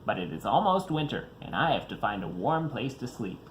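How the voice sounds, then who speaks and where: A man voicing a polite, gentle, grateful frog from a children's storybook. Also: lowish voice, sing-song, fairly quick, slight background noise, pitched below the performer's own voice, poor audio. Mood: apologetic, mild.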